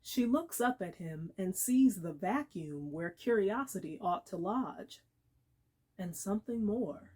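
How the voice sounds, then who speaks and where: Slow, deliberate woman reading literature dramatically. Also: medium pitch, breathy edge. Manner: measured, deliberate, expressive with dramatic pauses. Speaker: actor reading aloud.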